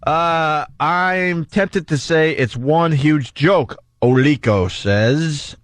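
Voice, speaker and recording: A man's voice: a little high, drawn-out words, no echo. An American man hosting a talk radio show with casual comic banter, close on a studio microphone.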